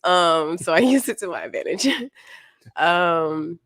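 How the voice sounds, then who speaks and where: A woman's voice: lowish voice, expressive, slow pace, fairly bright tone, no room sound, studio quality. A woman guest on a podcast, easygoing, giving short answers and laughing often. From military family, moved often, raised partly in Japan. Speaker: guest, model. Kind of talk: casual interview answers.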